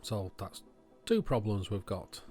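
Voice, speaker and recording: A man's voice: fairly low pitch, sing-song, measured pace, mellow, soft background music. A man giving informal, matter-of-fact commentary on an electronics repair, thinking aloud as he works. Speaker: electronics repair video host.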